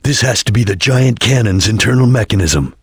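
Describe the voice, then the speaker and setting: Cool-headed chameleon ninja for a game: composed, clipped, heavily rasped voice, close dry recording. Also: male voice, fairly low pitch, lively intonation, brisk, no echo. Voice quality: raspy, gravelly. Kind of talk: video game character acting.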